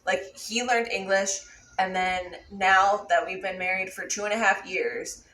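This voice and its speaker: A woman's voice: mid-range voice, fairly even intonation, measured pace, bright, forceful tone. A young American woman from Buffalo, a vlogger chatting casually and explaining things at length. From Buffalo, USA. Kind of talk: casual chat. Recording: echoey room, mic at a distance, faint noise.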